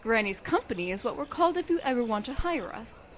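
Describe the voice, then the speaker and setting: An old elven granny who leads mercenaries , acted by a woman, brisk and wry. Her voice sits fairly high and moves around freely in pitch. Crickets and clear background noise surround her in this fantasy audio drama. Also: very animated. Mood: calm, wry.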